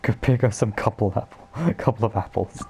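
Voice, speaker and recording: A woman with an extremely low voice makes casual, off-the-cuff remarks as she plays a game. The microphone is clearly noisy. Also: fairly quick. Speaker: gamer commenting while playing. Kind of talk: casual off-the-cuff gameplay chat.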